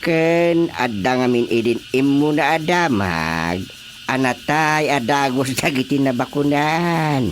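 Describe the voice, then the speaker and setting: A man's voice: medium pitch, slow, a little hiss or hum, natural voice. A man with a Filipino accent playing a fearful, stubborn elder parent in a radio drama. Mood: stubborn, agitated.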